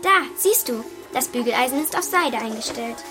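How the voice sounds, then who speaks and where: An actress playing an excitable, stubborn young schoolgirl in a German children's radio play. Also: on the high side, moderate pace, over music, lightly acted. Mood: matter-of-fact narrating. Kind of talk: German children's radio play acting with first-person narration.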